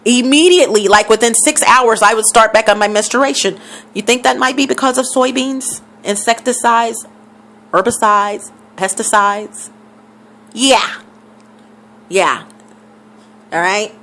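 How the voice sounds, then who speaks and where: A woman with a somewhat high voice talks casually to her viewers, hammering home numbers by saying them again. Her throat sounds scratchy, as though she is losing her voice. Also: a little slow, long pauses, a bit bright. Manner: emphatic, repeats figures for stress. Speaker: vlogger. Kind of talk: casual talk to camera.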